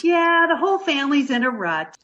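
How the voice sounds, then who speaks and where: A ditzy young woman played by an actress in a radio sitcom, guileless and earnest. Her voice is high and very lively, on a slightly dull recording. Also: a little fast, full character voice. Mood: breezy, content. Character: ditzy, naive, cheerful young American woman. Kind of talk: old-time radio sitcom acting.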